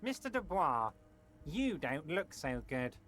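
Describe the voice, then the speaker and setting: A man's voice: on the high side, big pitch swings, takes it slowly, a bit bright, a little hiss or hum, heavily acted. An actor voicing a pompous, patronizing middle-aged male union boss in a video game, theatrical and syrupy. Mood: concerned.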